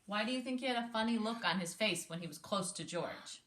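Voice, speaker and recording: A woman with a bright, clear-edged voice reads a picture book aloud for young listeners. Her delivery is animated and encouraging, and she breaks off to prompt with simple questions. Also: mid-range voice, fairly quick, a little hiss or hum. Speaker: read-aloud host, speech therapist.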